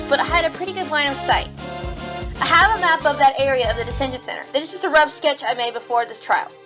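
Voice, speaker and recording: A woman playing a young, earnest rabbit police officer, formal and assertive, in a courtroom audio drama. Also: sits high. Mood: assured, explanatory. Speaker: voice actor in a fan audio drama.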